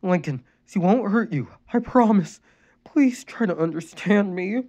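A man voicing a distressed mother who gently pleads with her son to calm down. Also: sits high, a little fast, voice pushed up. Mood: urgent pleading. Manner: pleading, urgent coaxing.

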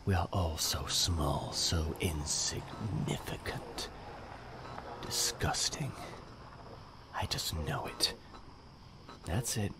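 An actor playing a deranged, nihilistic serial killer in an audio drama, brooding and menacing in a breathy voice that often drops to a whisper. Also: male voice, low voice, lively intonation, noisy background. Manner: slow, brooding monologue in clipped phrases. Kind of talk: audio drama villain monologue.

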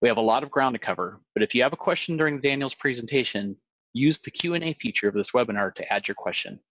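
A man's voice: mid-range voice, lively intonation, quick pace, a bit bright, dry recording, rough recording. A man hosting an online webinar, giving a brief formal welcome and introducing the speaker. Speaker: webinar host.

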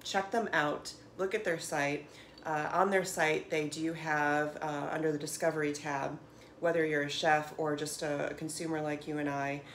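Low-voiced, chatty American woman vlogger. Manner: enthusiastic, chatty, emphatic. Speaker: home cook and vlogger. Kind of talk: casual unboxing vlog talk to camera. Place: small home kitchen, indoors. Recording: clearly noisy home room recording.

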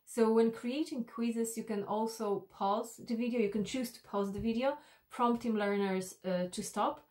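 A woman's voice: mid-range voice, a little mellow. A woman in her thirties narrating an instructional tutorial as an e-learning instructor, explaining things directly to the viewer.

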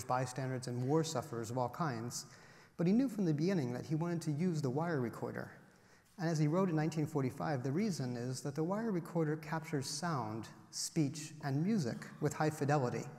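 Guy giving a lecture, calm and explaining, podium mic, lots of room echo. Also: mid-range voice. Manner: measured, explanatory, lists with rising emphasis. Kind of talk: academic lecture. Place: large auditorium, at a podium. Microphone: podium microphone. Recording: roomy hall with long reverb.

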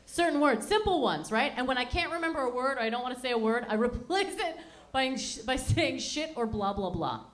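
Canadian lady in her 30s doing standup, high voice, chatty and rambly, handheld mic in a small club. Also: lively intonation, fairly quick. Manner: chatty, rambling, self-mocking. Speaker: stand-up comedian and MC. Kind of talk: stand-up comedy set. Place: small dark lounge with a live audience.

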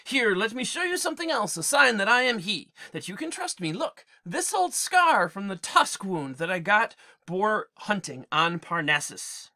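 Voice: mid-range voice, very animated, normal speed, few pauses, bright, forceful tone, voice pushed up. A teacher reading aloud as a disguised old warrior king, firm and giving orders. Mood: commanding.

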